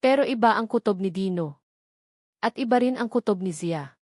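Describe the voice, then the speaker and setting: A woman's voice: mid-range voice, expressive, normal speed, a little mellow, no echo, clean studio sound. A woman with a Filipino accent narrating a scripted romantic drama as an audiobook storyteller.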